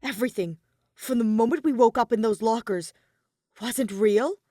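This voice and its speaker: A woman's voice: a little high, normal speed, warm tone, no echo, pitched above the performer's own voice, close to an everyday voice. A woman voicing a stubborn, weary teenage boy in a dramatic audiobook reading, earnest and incredulous. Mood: demanding, distressed. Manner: earnest, protesting, dry.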